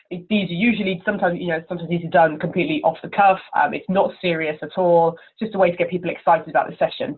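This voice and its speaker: A woman's voice: lowish voice, slightly rough. A woman giving informal, encouraging interview answers as a conference chair over the telephone. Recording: narrow, tinny telephone line.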